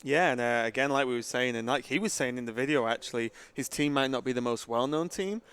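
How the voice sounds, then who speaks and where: A male esports caster gives conversational live commentary at the desk. He talks quickly, in a clean, smooth voice of medium pitch. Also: a bit bright.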